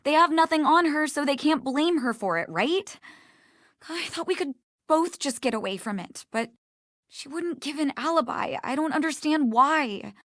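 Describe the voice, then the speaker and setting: An actress playing a guilt-stricken, frightened woman in a video game, speaking in a halting, pleading way. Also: high-pitched, animated, fairly bright tone, dry recording, studio quality. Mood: guilty, distraught.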